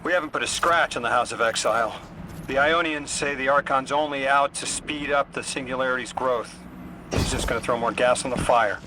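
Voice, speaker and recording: A man acting as a wry, worried man in a video game, conversational and a little hesitant. His voice sits at an average pitch, with clear ambient noise and vehicle rumble behind it. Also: brisk, lightly acted. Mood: frustrated. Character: wry, worried adult man named Lodi, game character.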